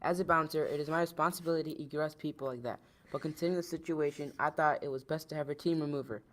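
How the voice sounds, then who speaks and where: Quick-paced reader of scripted news copy. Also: mid-range voice, steady tone, soft background music. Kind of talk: quick scripted reading of news lines and quotes.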